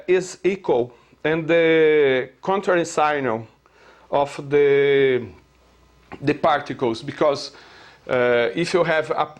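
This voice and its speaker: A man with a Brazilian Portuguese accent lectures in a slightly high voice, taking his time over every phrase. His English is earnest and halting, full of pauses and filler sounds as he searches for words. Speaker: self-taught theorist presenting his theory. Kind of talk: slow explanatory lecture.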